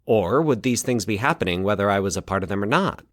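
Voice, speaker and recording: Male narrator as brooding young man, baffled, musing to himself, swooping pitch, audio fiction, close dry recording. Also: lively intonation, fast, a bit bright, no echo. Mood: baffled, pondering. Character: Mike, baffled, brooding young man investigating eerie coincidences. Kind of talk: fiction podcast dialogue within narration.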